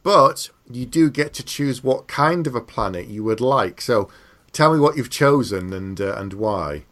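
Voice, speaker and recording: A man's voice: medium pitch, expressive, unhurried, a bit bright. A man hosting a casual interview podcast in an agreeable, affirming, conversational way.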